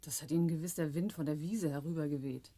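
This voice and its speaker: An actress in a German radio play playing a brisk, sharp-witted woman with a teasing manner. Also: low-pitched, steady tone, slightly rough. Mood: sharp, wry.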